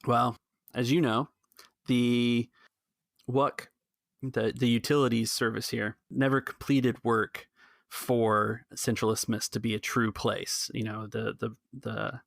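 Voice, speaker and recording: A man playing an earnest cantina keeper in a tabletop space adventure, urging rebellion in a halting, persuasive way. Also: mid-range voice, little pitch movement, vocal fry, dry recording, studio quality. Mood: conspiratorial, earnest.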